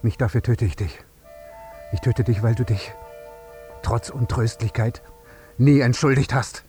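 Male actor as vengeful bereaved father, medium-pitched, deliberate, accusing, radio play, light music behind. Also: fairly even intonation, dark tone, natural voice. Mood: cold resolve. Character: grieving, vengeful father confronting an air traffic controller. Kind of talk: radio drama acting.

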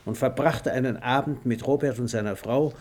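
A man's voice: mid-range voice, normal speed, darkish tone. A man narrating a literary radio play in a scripted storytelling style.

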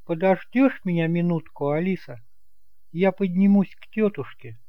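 A man's voice: high voice, normal speed, dry recording, lo-fi sound, pitched above the performer's own voice, close to an everyday voice. A man playing an earnest young bridegroom in an audiobook drama, uneasy, subdued and hesitant.

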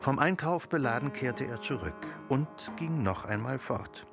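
An actor playing the narrator of a radio play, reading literary prose in a measured way. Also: male voice, medium pitch, lively intonation, vocal fry. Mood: measured, subdued. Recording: music with sitar behind.

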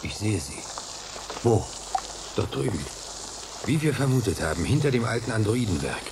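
A man's voice: fairly low pitch, sing-song, moderate pace, background noise. A man acting in a radio play as a dry, resigned colonist, speaking in a matter-of-fact way. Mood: conspiratorial. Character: dry, resigned male colonist plotting contact outside.

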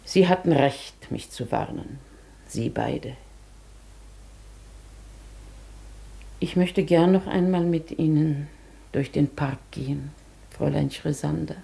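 A woman playing a dignified, wistful hotel guest in a German radio drama, with a soft, often breathy voice and a formal, measured manner. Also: deep voice. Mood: wistful, subdued. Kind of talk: German radio play acting.

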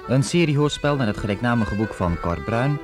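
A man's voice: mid-range voice, lively intonation, fairly quick. A man formally announcing a radio drama serial. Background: loud orchestral music with brass and strings.

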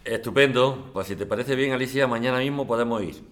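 A man with an accent from Spain playing a brisk, officious residents' president in a radio drama. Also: medium pitch, fairly bright tone, lightly acted. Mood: managing. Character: businesslike male residents' president, impatient to stay on topic. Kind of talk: acted community radio drama.

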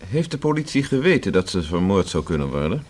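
A guarded engineer in a radio drama. His low, husky voice moves animatedly in pitch, with formal, uneasy delivery. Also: sing-song, brisk, darkish tone. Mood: wary. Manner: reticent, formal, wary. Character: guarded, uneasy male engineer being questioned. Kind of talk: radio play acting.

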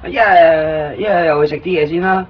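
An actor playing a talkative, excitable young Hong Kong man living in Tokyo who keeps joking and offering to guide a visitor, speaking with a Hong Kong Cantonese accent in a 1990s radio play. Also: a little high, moderate pace, natural voice. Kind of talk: 1990s radio drama acting. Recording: dull lo-fi 1990s radio recording.

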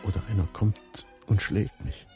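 A man's voice: lowish voice, steady tone, measured pace, highs cut off. An actor playing a fearful, self-loathing man in a radio drama, giving an intimate inner monologue. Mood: fearful, bitter. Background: music.

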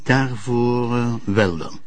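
An actor playing a cautious Flemish public prosecutor in a drama, questioning and hesitant. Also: male voice, medium pitch, takes it slowly, natural voice. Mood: doubting, hesitant. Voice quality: husky. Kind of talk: drama acting.

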